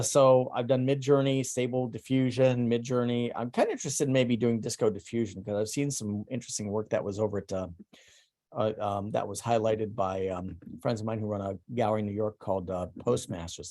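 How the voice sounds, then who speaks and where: A middle-aged man presenting his artwork at an online salon, speaking haltingly with many ums and restarts. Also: on the low side, fairly even intonation, a little mellow. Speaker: presenting artist. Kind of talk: online salon artist talk.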